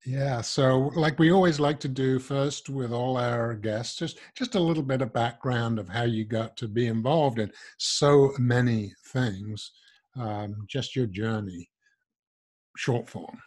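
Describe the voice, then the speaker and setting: A man's voice: medium pitch, a little slow, a little mellow. An older man hosting a podcast interview from his home study, friendly and lightly joking as he introduces his guest on a video call. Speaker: podcast host. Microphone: wired earbud mic on a video call.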